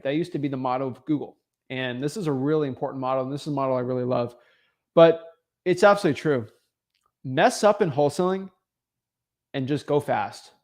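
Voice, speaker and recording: A young man hosting a livestream, giving an emphatic motivational talk that repeats key phrases, with frequent filler words. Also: a little high. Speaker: host of a real estate livestream.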